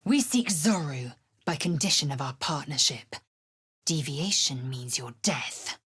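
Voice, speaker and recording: An actress voicing a fierce, proud alien warrior woman in a fantasy video game, blunt and contemptuous, with husky, clipped, commanding, formal delivery. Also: deep voice, expressive, a little slow, fairly bright tone, dry recording, clean studio sound, full character voice. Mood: proud, resolute. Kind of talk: dramatic fantasy game voice lines.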